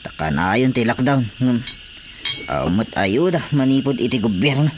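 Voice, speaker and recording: A man's voice: medium pitch, animated, normal speed, close to an everyday voice. A man plays a disgruntled fisherman in a radio drama. He grumbles and argues his case, with faint background noise and chirping insects around the voice. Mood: complaining. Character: disgruntled male village fisherman with a grievance. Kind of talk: Ilocano radio drama acting.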